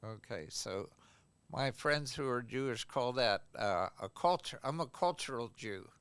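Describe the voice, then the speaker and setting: A man hosting a podcast interview, asking his guest questions in an unhurried, conversational way. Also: medium pitch, expressive, a bit bright, clear, resonant tone, dry recording. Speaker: podcast host.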